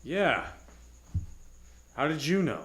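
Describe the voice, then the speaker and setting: A man's voice: fairly high pitch, very animated, slow, fairly bright tone, exaggerated voice. An actor playing an anxious, well-off married man in a radio detective play, stiff and agitated in manner. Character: flustered, anxious well-off male client, a married engineer. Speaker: actor in live radio theatre. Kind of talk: 1940s radio drama acting. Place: on stage at a live theatre event.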